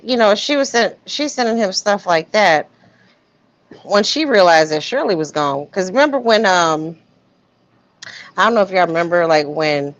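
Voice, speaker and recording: Female live stream host, casual slangy commentary, slightly low voice, muffled lo-fi sound. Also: fairly bright tone. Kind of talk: casual commentary on text messages. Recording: dull, lo-fi stream audio.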